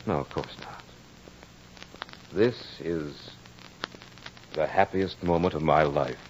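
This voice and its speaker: Deep-voiced brooding nobleman, old radio. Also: slow, very noisy. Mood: tender, ardent. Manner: earnest, theatrical, formal speech. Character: brooding French nobleman, former soldier, lovestruck and uneasy. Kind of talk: 1940s radio drama acting. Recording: dull old radio recording with music.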